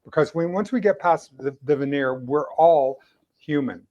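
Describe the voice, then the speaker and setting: A man hosting a conversational interview, speaking earnestly and haltingly, often repeating phrases for emphasis. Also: fairly high pitch, lo-fi sound. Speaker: interviewer, host.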